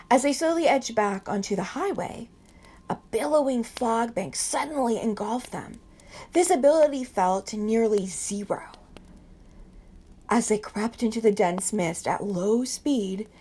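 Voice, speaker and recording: A woman reading stories aloud from a book as a storyteller, with measured, deliberate phrasing. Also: on the high side, a little mellow.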